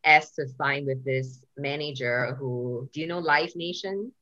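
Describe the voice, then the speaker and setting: Woman comedian, low voice, chatty storyteller, dull lo-fi sound. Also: unhurried, pushed and bright. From Singapore. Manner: chatty storyteller, swearing and acting out quotes. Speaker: touring stand-up comedian, podcast guest. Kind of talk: casual interview chat.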